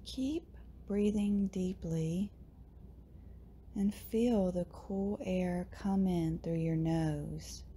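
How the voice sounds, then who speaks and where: Soft mellow woman doing guided meditation, super slow, gentle, almost whispery, lots of pauses. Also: lowish voice, slight background noise. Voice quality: soft, breathy, often near whisper. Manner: gentle, soothing, long pauses between short phrases.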